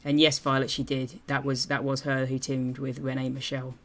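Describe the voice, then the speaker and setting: A British man co-hosting a podcast, his voice sitting flat and steady at a middle pitch. He talks things through evenly and checks in with his co-hosts as he goes. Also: brisk. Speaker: podcast co-host. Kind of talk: casual wrestling podcast chat.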